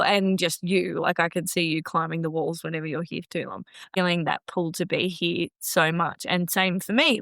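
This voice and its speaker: A woman in her thirties hosting a casual podcast chat, chatty and quick to laugh. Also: lowish voice, vocal fry, fairly bright tone, no room sound. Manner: chatty, laughing easily, lots of fillers. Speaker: podcast host. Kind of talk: casual conversation.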